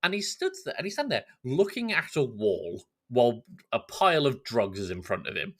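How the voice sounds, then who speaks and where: Man, podcast co-host, lively swooping pitch, mocking storyteller, casual film chat. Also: brisk. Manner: animated, mocking, sweary storytelling.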